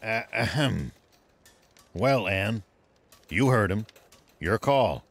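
An actor playing a stern, courteous old sheriff in a video game, speaking in a formal, measured way. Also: male voice, on the low side, animated, vocal fry, full character voice. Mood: stern, embarrassed. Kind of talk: video game cutscene acting.

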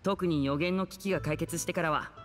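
A man's voice: a little high, fairly quick, a bit bright, lightly acted. An actor playing a courteous, easygoing young man in a Japanese game, talking in a friendly, polite manner. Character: courteous, smooth-talking young man, a magician and eldest brother. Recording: game music behind the voice.

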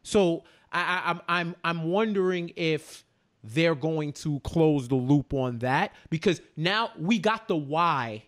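A man's voice: on the high side, takes it slowly, a bit bright. A man in his thirties co-hosting a casual recap discussion, speaking into a close microphone at home. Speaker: podcast co-host. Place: small home room, indoors. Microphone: close microphone on boom arm.

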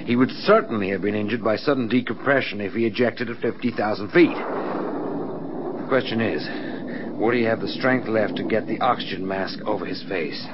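A grave air force officer acted by a man in a radio play, analytical in manner. His voice is on the low side, and the old recording is dull and clearly noisy. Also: natural voice. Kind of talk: radio drama acting.

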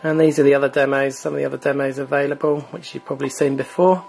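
A man's voice: mid-range voice, quick pace, gravelly, soft background music. A man hosting a gaming channel, chatting casually with many fillers as he walks through a console's online store. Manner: relaxed, filler-heavy, chatty. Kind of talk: casual screen walkthrough.

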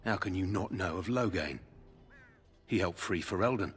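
An actor playing a dutiful, guarded soldier in a fantasy video game, speaking formally and earnestly. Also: male voice, low voice, normal speed, breathy edge, big-room sound. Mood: stern, proud. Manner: formal, earnest, gossipy yet cautious. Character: dutiful, guarded male soldier guarding a war camp tent.

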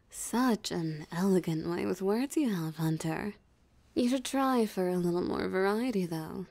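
A woman's voice: lowish voice, expressive, a little slow, creaky, darkish tone, no echo, heavily acted. A woman voicing a possessive, mocking female vampire in an ASMR roleplay, with a teasing, theatrically smug drawl. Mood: mocking, amused. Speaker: voice actor. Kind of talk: ASMR roleplay audio drama.

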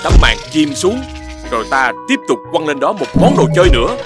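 Clever cartoon dog scientist, southern Vietnamese. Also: male voice, fairly high pitch, very animated, fairly quick. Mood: eager, instructive. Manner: explanatory, lecturing. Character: clever, patient male dog scientist, fond of explaining. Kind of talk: children's cartoon dialogue. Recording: loud children's cartoon music underneath.

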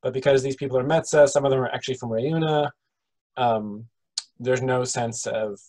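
A man of middle pitch narrates as game master over a video call. He sets the scene in a halting way, with many pauses and restarts. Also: steady tone, a little mellow. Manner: hesitant, full of ums and restarts.

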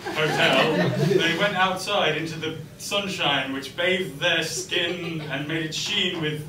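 Guy doing improv, high voice, noisy far away recording. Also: slow, roomy sound. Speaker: improv comedy performer. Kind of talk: improvised comic storytelling in character. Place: small comedy club stage. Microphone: distant camera.

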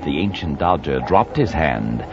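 Deep, portentous radio storyteller. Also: male voice, lively intonation, a little slow, over music, exaggerated voice. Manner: dramatic, portentous. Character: ominous, mock-epic male storyteller of a Brooklyn tale. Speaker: narrator. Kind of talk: 1940s radio verse narration. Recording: muffled, noisy 1940s radio recording.

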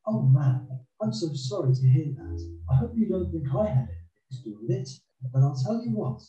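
Male narrator as poor young boy, well-mannered, pleading, fairy tale, high pitch, roomy dull sound. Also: lively intonation, normal speed, warm tone, lightly acted. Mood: persuasive. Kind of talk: children's fairy-tale storybook voice. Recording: dull roomy recording, faint music.

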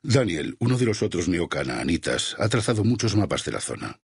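A solemn warrior preacher in a video game, devout and unbending. His low voice is heavily gravelled and breathy, with a Castilian Spanish accent. He persuades like a sermon, measured yet with wide swings of intonation. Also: sing-song, no room sound, clean studio sound, exaggerated voice. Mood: solemn, guarded. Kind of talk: dramatic video game dialogue.

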